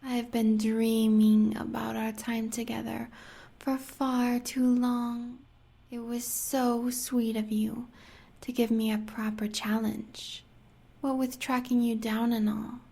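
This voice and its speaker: American woman voicing a cruel seductive huntress, husky gravelly whisper, slow and dark. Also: fairly high pitch, level tone, full character voice. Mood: menacing. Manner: breathy teasing half-whisper, drawn-out. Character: sadistic seductive female monster huntress. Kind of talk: audio roleplay acting.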